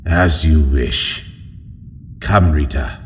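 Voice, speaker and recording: A proud alien nobleman, very deep and formal. He speaks like a calculating, reluctant subordinate in an audio drama, with long hall reverb around him. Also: a little hiss or hum, heavily acted. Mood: defiant, resentful. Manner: formal, measured.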